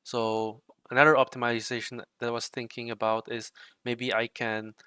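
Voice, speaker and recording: A young man with a bright, crisp voice talks loosely to his livestream viewers, filling his sentences with "like" and "right?". He sounds dry and close, with no room around him. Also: medium pitch, normal speed, no room sound. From Czech Republic. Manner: relaxed, rambling, frequent fillers like "like" and "right". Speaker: livestream host and trading bot developer. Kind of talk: casual livestream chat while coding.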